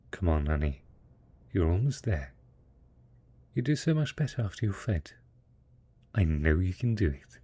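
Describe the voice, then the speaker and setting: A man's voice: fairly low pitch, dark tone, breathy edge, heavily acted. A man playing a devoted post-apocalyptic husband in an intimate audio drama, speaking tenderly and patiently as he coaxes his monstrous spouse. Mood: tender, cajoling. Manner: tender, coaxing, patient, full of pet names.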